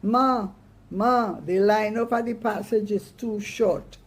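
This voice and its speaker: A woman's voice: medium pitch, steady tone, takes it slowly, husky, voice pushed up. A woman voicing cheeky young boys in a storybook, answering back bluntly in Caribbean dialect. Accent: Caribbean, Dominica.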